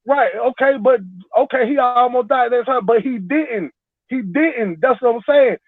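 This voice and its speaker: A man's voice: extremely high pitch, unhurried, no echo. A man in his forties calling in, rambling and defending his point, repeating himself. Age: 40s. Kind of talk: heated casual debate. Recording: tinny phone line, dry.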